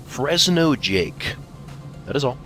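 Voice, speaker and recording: A man reading questions as co-host of a fan Q&A in a playful, teasing, animated manner. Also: medium pitch, takes it slowly. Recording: faint music behind the voice.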